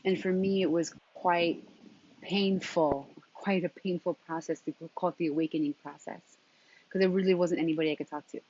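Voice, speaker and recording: A woman speaking as a spiritual coach in a motivational talk, deliberately, in short phrases with emphatic repetition and a husky voice. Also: lowish voice, fairly even intonation, a little mellow. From New York, of Colombian background. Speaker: transformative coach and intuitive guide. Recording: dull, lo-fi sound.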